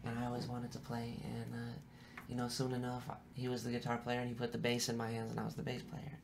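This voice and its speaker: A man from San Francisco chatting casually as a musician guest on a podcast, in a laid-back, slangy way with free swearing and a gravelly voice. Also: mid-range voice, completely flat delivery, fast, noisy background. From San Francisco, United States. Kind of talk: casual podcast interview chat.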